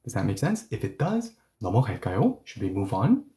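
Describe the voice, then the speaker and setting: A man teaching a language lesson who explains patiently, often pausing on "okay, so" and "yeah", and switches between explanations and slow model readings. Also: fairly low pitch, wildly swooping pitch, dark tone.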